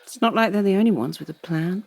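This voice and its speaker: An actress playing an anxious, mercenary middle-aged wife in an audio drama, with a husky voice. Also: female voice, mid-range voice, fast talker, darkish tone. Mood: complicit.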